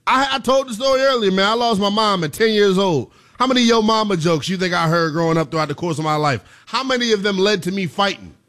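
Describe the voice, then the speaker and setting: A man in his twenties hosting a call-in sports talk show, animated and quick to laugh. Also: high-pitched. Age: 20s. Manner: animated, laughs easily, talks back to callers. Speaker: sports talk radio host.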